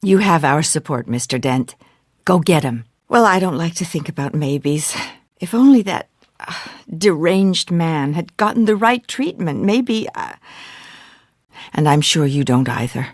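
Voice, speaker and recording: An actress playing a genteel, wry older society wife in a video game, poised and teasing. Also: female voice, sits low, expressive, unhurried, no echo, studio quality. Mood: encouraging.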